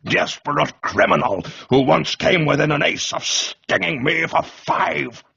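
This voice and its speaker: A man voicing a pompous, blustering older army major in an audiobook, with a gravelly, husky voice that jumps to high exclamations. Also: expressive, a little slow, sharp tone, lo-fi sound, exaggerated voice. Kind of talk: audiobook character voice.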